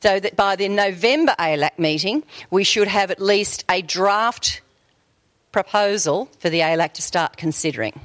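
A woman facilitating a workshop meeting in a meeting room, brisk and chatty, often checking in with the group. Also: medium pitch, fairly bright tone, no room sound.

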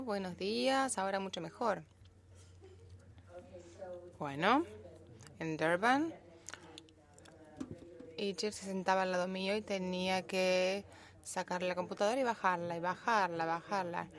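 A woman with a Rioplatense Spanish accent doing simultaneous interpretation, relaying speech in a steady, even way. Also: mid-range voice, a little slow, long pauses.

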